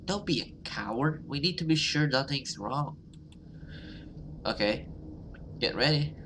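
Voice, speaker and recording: Slow-paced man doing casual game commentary. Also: medium pitch, lively intonation, a bit bright, a little hiss or hum. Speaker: gaming YouTuber playing a visual novel. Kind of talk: casual let's play commentary mixed with reading the story aloud. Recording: music playing behind the voice. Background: game music.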